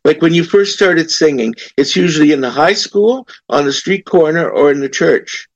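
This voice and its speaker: A man hosting an interview, asking questions in a chatty, animated way. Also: a little high, normal speed, dry recording, lo-fi sound. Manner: chatty, animated, laughing asides. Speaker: interview host.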